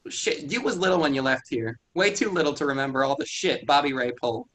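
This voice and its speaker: A man's voice: a little high, animated, quick pace, a bit bright. An actor reading a stubborn rural young man, curt and defensive in a staged play reading.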